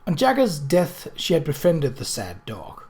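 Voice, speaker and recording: A man's voice: a little high, huge pitch swings, unhurried, darkish tone. A man narrating a novel aloud in a scripted storybook style. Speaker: audiobook reader.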